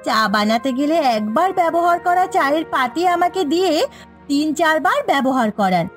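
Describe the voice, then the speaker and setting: A woman playing a put-upon, exasperated daughter-in-law and housewife in a Bengali cartoon, speaking in a complaining, animated manner. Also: sits high, normal speed. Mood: indignant complaining. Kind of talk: Bengali moral-story cartoon dubbing. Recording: faint music behind the voice.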